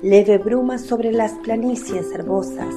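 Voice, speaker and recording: A woman's voice: fairly high pitch, takes it slowly, music in the background. A woman narrating a literary radio play from a script.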